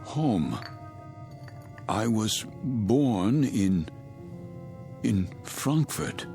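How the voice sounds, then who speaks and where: A man's voice: on the low side, sing-song, extremely slow, gravelly, mellow, faint music behind, full character voice. Formal, haunted German man. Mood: uneasy, distracted. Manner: hesitant, formal, precise. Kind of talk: radio drama acting.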